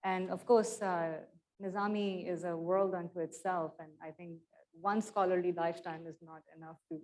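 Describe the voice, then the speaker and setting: A woman lecturer answering audience questions in a measured, thoughtful way, with small hedges and restarts. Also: on the low side, steady tone, darkish tone. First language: not Persian. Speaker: guest lecturer. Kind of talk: academic Q and A answers. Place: lecture room.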